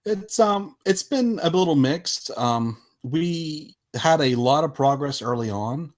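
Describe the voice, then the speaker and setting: A man speaking casually as a podcast guest, with halting, hesitant phrasing as he explains things. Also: fairly high pitch, animated. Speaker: podcast guest, visual novel developer. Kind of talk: casual interview talk.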